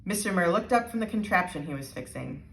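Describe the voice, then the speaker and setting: A woman reading a children's storybook aloud with expressive narration. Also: fairly low pitch, fast, vocal fry. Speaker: storybook reader. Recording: roomy, echoing indoor sound.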